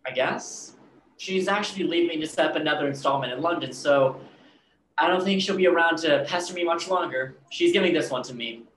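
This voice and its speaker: A woman's voice: sits low, a little fast, lightly acted. An awkward, smitten young man, acted by a woman in a staged reading. The delivery hesitates and stammers, over a roomy video call with some background noise. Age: young adult. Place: indoor room, video call.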